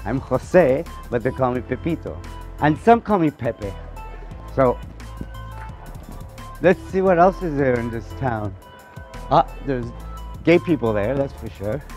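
A man's voice: medium pitch, expressive, unhurried, long pauses, a little mellow, faint music behind. A man, chatting playfully while walking through city streets for a casual interview, recorded outdoors on a camera mic. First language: Spanish. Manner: playful, chatty, laughs often.

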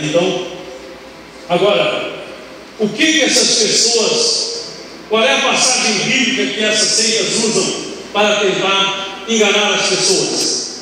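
An older Brazilian pastor teaches from the pulpit, his fairly high voice ringing through a large church hall. He is caught from far off with a long, booming reverb and faint hiss behind him. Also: sharp tone. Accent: Brazilian Portuguese. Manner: conversational preaching with tag questions and quick asides. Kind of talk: teaching sermon. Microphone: handheld mic, recorded from far camera.

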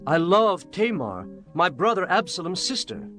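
An actor playing a lovesick, demanding young prince in a dramatized audio Bible, speaking in imperious, archaic phrases. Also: male voice, high voice, sing-song, moderate pace, fairly bright tone, soft background music. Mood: yearning. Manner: imperious, archaic formal speech.

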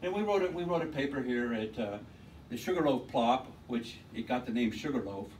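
A man with a loud voice giving an informal technical talk at a meetup, rambling and restarting his sentences. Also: medium pitch, animated, brisk, distant mic, slight background noise, poor audio. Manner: rambling, with many restarts and repeated words. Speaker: conference speaker. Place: lecture room at a tech meetup. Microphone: handheld mic, often held away from the mouth.

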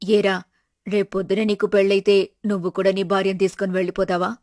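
A woman voicing a worried, practical older wife and mother in an animated family story, plain-spoken and resigned. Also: mid-range voice, brisk, close to an everyday voice. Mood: matter-of-fact.

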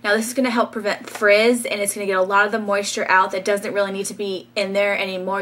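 A woman's voice: mid-range voice, fairly bright tone. Chatty young woman in a bedroom. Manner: chatty, frequent ums, light laughs; demonstrating while styling her hair. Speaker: beauty vlogger. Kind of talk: casual hair tutorial. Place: small bedroom, indoors.

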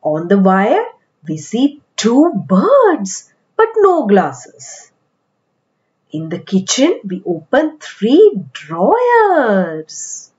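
A woman with an Indian accent reading aloud to young children as a storytelling host, with deliberate, expressive phrasing. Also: fairly high pitch, dull audio. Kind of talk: animated picture-book read-aloud for kids.

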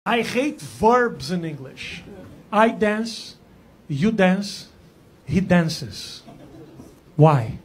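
A man giving a comic rant in a deliberate, exaggerated complaining way. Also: high voice, big pitch swings, heavy background noise.

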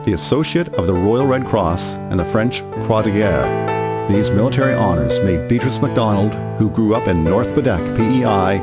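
A man narrates a historical drama in a formal, measured way. His voice is fairly low, and prominent guitar music plays behind him. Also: expressive. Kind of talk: scripted documentary drama narration.

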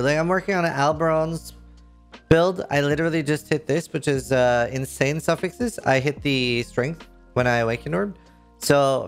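A man in his thirties speaks English with a Norwegian accent, his voice carrying a gravelly, creaky texture. He rambles eagerly about his favourite game update. He is close to a desk mic, with faint guitar music behind. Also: mid-range voice. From Norway. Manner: enthusiastic, rambling, lots of fillers. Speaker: streamer. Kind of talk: casual game commentary to camera. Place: indoor streaming room.

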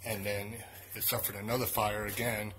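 A man's voice: lowish voice, level tone, normal speed, background noise. A man vlogging as he walks through outdoor hotel ruins, talking in a loose, rambling way and correcting himself as he goes. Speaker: urban explorer vlogger. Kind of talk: casual walking narration.

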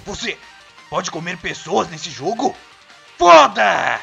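Irritable male cartoon cat creature, acted, Brazilian accent: sharp, gravelly, foul-mouthed bully. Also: mid-range voice, sing-song, slow pace, muffled recording, faint music behind, exaggerated voice. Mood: smug, teasing. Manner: brash, foul-mouthed, mocking. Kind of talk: cartoon fandub acting.

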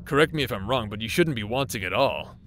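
A man's voice: lowish voice, lively intonation, quick pace, a bit bright, slight background noise. A man playing a casual, mocking figment of someone's thoughts in an audio drama, blunt and sardonic. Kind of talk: audio roleplay drama acting.

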